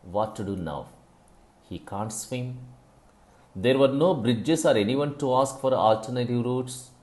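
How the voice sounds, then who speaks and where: A man reading a scripted moral story as a storyteller, in a deliberate, instructive manner with stressed phrases. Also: mid-range voice, darkish tone.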